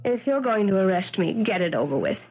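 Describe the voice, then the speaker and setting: A woman's voice: medium pitch, big pitch swings, brisk, husky. An actress playing an intense, earnest woman professor who insists on her innocence, in 1970s radio drama style. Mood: indignant. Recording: dull, slightly noisy 1970s radio recording.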